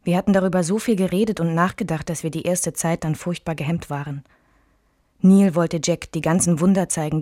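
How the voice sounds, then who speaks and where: A woman's voice: on the low side, dark tone, close to an everyday voice. An actress playing a reflective, restrained woman recalling her married life in a scripted radio drama.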